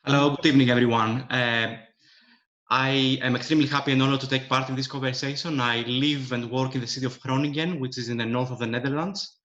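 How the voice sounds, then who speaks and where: A man's voice: medium pitch, steady tone, quick pace, fairly bright tone. A man from Greece with a Greek accent, a professor introducing himself on a video-call panel in a light, self-mocking way. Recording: dull lo-fi call audio.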